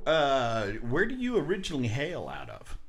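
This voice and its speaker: A man's voice: mid-range voice, wildly swooping pitch, normal speed, a bit bright. A man hosting a ministry interview, talking in a folksy, preacherly way. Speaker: ministry show host. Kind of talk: faith interview.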